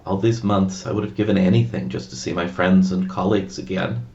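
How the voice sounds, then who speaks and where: A grateful survivor in a video game, long separated from his friends. His voice is low, and the sound is somewhat dull. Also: steady tone, a little fast, darkish tone. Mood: wistful, grateful.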